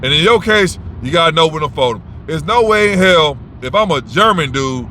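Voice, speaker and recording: Blunt, profane man with a bright voice. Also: a little high, animated, fairly quick, slight background noise. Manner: blunt, rambling, profane, with many restarts. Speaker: host giving advice. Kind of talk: casual unscripted monologue.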